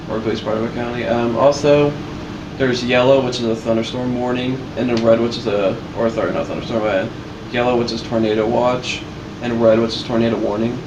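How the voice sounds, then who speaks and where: A man chatting casually as a reaction host, explaining and pointing things out as he goes. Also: medium pitch. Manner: explanatory, pointing out on-screen details. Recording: echoey room with loud background noise.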